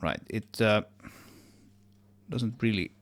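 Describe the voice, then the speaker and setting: A man giving a casual software tutorial, thinking aloud, correcting himself and trailing off as he works. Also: lowish voice, takes it slowly. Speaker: tutorial presenter, game audio developer.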